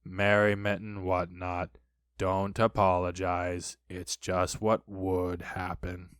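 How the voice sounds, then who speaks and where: A storybook reader voicing a gloomy, sarcastic animal who talks in a slow, bitter drone. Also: low voice, completely flat delivery, clear, resonant tone, dry recording, studio quality, pitched below the performer's own voice. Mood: bitter gloom.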